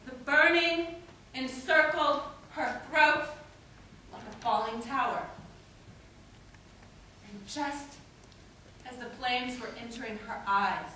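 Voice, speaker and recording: A young woman delivers an intense theatrical monologue in a high, bright voice. Her phrases are deliberate and broken by pauses. She is heard from a distance in a reverberant hall. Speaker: stage performer. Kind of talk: dramatic monologue recited on stage. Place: indoor stage performance in a theatre or hall. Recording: echoey hall, distant mic, faint noise.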